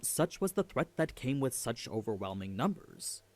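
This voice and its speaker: A man narrates a scripted story recap. His mid-range voice moves up and down in pitch a good deal, giving the storytelling an animated delivery. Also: normal speed.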